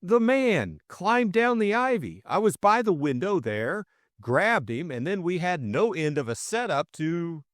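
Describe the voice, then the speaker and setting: A well-bred young man from an audiobook, put on by a male reader. He sounds boyish, eager and breezy, with a raised, swinging pitch. The recording is close and dry. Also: huge pitch swings, slow pace, a bit bright, no room sound, exaggerated voice. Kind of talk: audiobook character acting.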